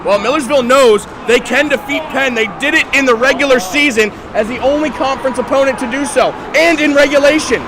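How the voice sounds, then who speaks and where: A young man calling live hockey play-by-play, his voice a little raspy from a weekend of games. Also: extremely high pitch, brisk, few pauses. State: slightly raspy from three games. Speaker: play-by-play commentator. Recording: loud crowd and arena noise.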